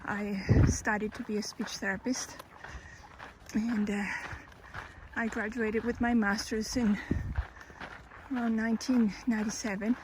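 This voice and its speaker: Slow-spoken Colombian woman outdoors. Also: a little high. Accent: Colombian Spanish. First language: Spanish. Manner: slow, thoughtful, with pauses and self-corrections. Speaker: hiker and vlogger. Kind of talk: personal life story, casual. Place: outdoors on a trail. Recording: outdoor recording with background noise.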